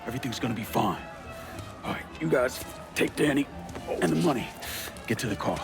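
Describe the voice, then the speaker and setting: An actor playing a tough, protective young crew leader, terse and commanding, in a video game. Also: male voice, mid-range voice, expressive, a little fast, vocal fry. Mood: calm, commanding. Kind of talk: cinematic video game acting. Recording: voice buried under loud music.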